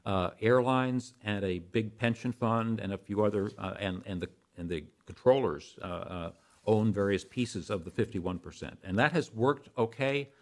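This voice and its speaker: An older American man speaking as an expert guest in an interview, explaining things in a halting way with self-corrections. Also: fairly low pitch.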